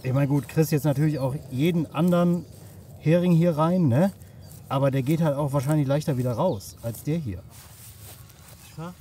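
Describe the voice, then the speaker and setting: A man with a fairly high voice chats colloquially for an outdoor camping vlog. He speaks in a joking, informal way to the camera and his companion. The phone recording carries faint outdoor noise. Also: moderate pace, dark tone. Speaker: camping vlogger. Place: outdoors at a riverside campsite. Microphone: handheld smartphone.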